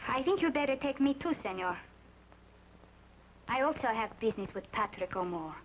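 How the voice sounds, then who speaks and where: A woman's voice: a little high, animated, moderate pace, natural voice. A woman playing an urgent Mexican señorita. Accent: put-on Mexican Spanish. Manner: urgent and earnest. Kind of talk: 1950s radio drama acting. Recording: muffled old radio broadcast with hiss.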